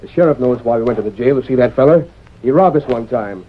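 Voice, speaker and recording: An actor playing a scoffing, uneasy outlaw sidekick with a folksy rustic western drawl in old-time radio style. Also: male voice, mid-range voice, lively intonation, fast talker, slight background noise, close to an everyday voice. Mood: defensive. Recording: muffled vintage radio broadcast.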